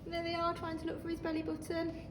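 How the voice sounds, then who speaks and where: A woman reading a children's story aloud like a teacher, expressive and talking listeners through the pictures. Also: very high-pitched, fast talker. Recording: roomy, distant microphone, faint noise.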